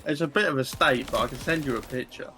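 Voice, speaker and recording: A man's voice: mid-range voice, fast, pushed and bright, slight background noise. Laid-back guy on voice chat. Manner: laid-back, rambling, fills with "like". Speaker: friend on voice chat. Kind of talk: casual chat.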